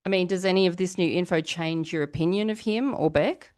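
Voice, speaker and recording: A woman with a fairly low-pitched voice hosts a gossip podcast about reality TV. She talks casually and chattily, addressing listeners directly with rhetorical questions. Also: fairly quick, darkish tone, slight background noise. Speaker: podcast host.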